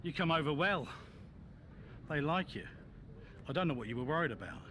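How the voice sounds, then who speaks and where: An actor playing a supportive racing team mentor, encouraging in short phrases. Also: male voice, fairly high pitch, expressive, fairly quick, bright, forceful tone, a little hiss or hum. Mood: reassuring.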